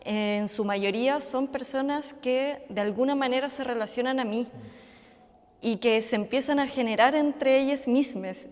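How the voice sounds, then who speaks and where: A woman artist speaking on a panel in a reflective way, with fillers and pauses. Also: high-pitched, darkish tone, long reverb tail. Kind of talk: public panel discussion. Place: community center hall.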